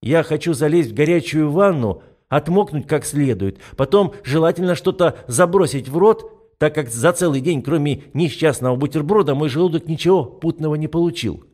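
A man's voice: on the high side, animated, moderate pace, hardly any pauses, darkish tone, pitched above the performer's own voice. A man voicing a tired, irritable young woman schoolteacher who grumbles in an audiobook. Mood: weary. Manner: grumbling, snappy.

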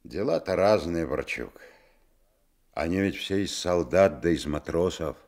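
An actor playing a kindly old sailor orderly in a Soviet radio drama, speaking in a folksy, plain way. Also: male voice, low voice, measured pace, darkish tone. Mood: explaining patiently. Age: older. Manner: folksy, colloquial peasant speech.

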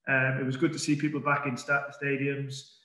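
A middle-aged man, a football manager, answering press questions calmly over a video call, with many fillers and restarts. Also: mid-range voice, moderate pace. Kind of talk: post-match press conference. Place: indoor press room in front of a sponsor board. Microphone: webcam on a video call. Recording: echoey room.

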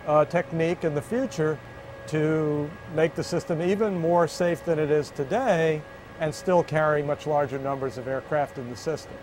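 An American man in his sixties gives a measured, methodical explanation to camera. His voice sits a little higher than most men's. He is on a lapel mic, with faint ambient noise behind him. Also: a little mellow, rough recording. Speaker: aviation official and pilot. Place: inside an aircraft cabin.

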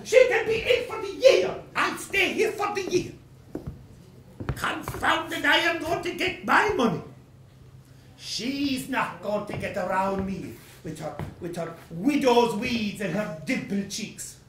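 A woman's voice: medium pitch, animated, slow, a little hiss or hum. A woman playing a blustering, angry male landowner who rants in exclamatory, self-pitying outbursts, acting out a stage play. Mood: furious. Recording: roomy echo, from a distance.

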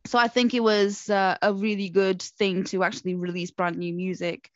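A woman's voice: medium pitch, little pitch movement, normal speed, dry recording. A woman who speaks Portuguese as her first language, hosting a casual podcast interview in a chatty, upbeat way with many fillers. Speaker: podcast host. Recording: dull dry lo-fi audio.

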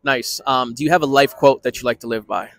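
A man in his thirties interviewing people on a city street with a handheld mic, quick-talking and repeating short affirmations. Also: medium pitch, creaky. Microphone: handheld microphone.

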